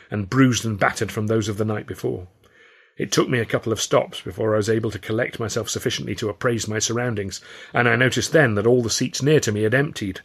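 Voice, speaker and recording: A British man narrates an audiobook in the first person. His voice sits a little low with a husky, breathy grain. The delivery is expressive and wry. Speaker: author reading his own novel.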